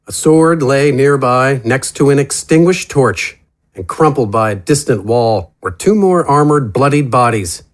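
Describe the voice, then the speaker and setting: A man narrates a fantasy story with a dramatic, scripted delivery. His voice sits at a middling pitch, set up as the storyteller of an audio adventure. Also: lively intonation, measured pace.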